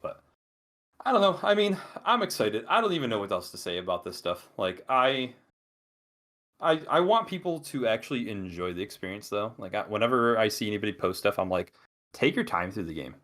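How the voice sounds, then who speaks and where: A man's voice: lowish voice, sing-song, fairly quick, fairly bright tone. A man co-hosting a gaming podcast, talking in a casual, rambling way with many restarts. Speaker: podcast co-host.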